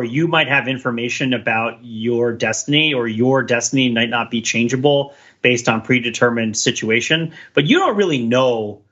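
Bright, husky-voiced man discussing on a podcast. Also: medium pitch, muffled recording. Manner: discursive, hedging, intellectual way of talking. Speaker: podcast co-host. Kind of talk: casual analytical discussion of a TV show.

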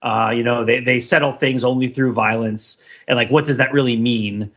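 A man co-hosting a casual pop culture discussion, talking in a halting, digressive way and often correcting himself. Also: medium pitch, fairly even intonation, fairly bright tone, dull audio. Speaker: podcast co-host.

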